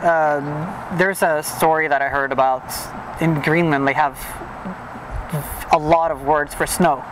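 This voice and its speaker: A man's voice: fairly high pitch, fairly even intonation, measured pace. A man from Norway, a dancer answering interview questions in a reflective, casual way with light laughs. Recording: outdoor recording with traffic noise.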